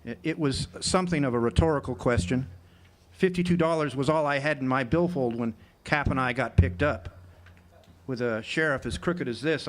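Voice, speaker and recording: A man's voice: a little high, fairly bright tone, natural voice. An actor playing a wry, hard-boiled drifter who narrates his own tale in a live noir radio drama. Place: live theater stage. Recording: noisy live amphitheater stage recording.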